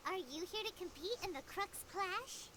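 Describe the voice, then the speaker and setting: A woman voicing a small, childlike fairy companion in an anime video game, squeaky, earnest and pouty. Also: expressive, normal speed, background noise. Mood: curious, chirpy.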